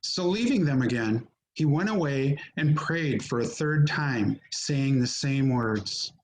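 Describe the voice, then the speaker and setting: A man narrating scripture from a script in a deliberate, solemn manner. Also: medium pitch, expressive, a little hiss or hum, low-quality recording. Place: remote recording on a video call. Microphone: video call.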